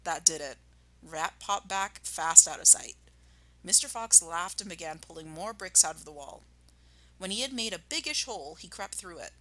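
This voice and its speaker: Bright-voiced woman teacher reading aloud. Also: lowish voice, expressive, normal speed, poor audio. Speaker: primary school teacher. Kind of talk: expressive children's storybook read-aloud and lesson.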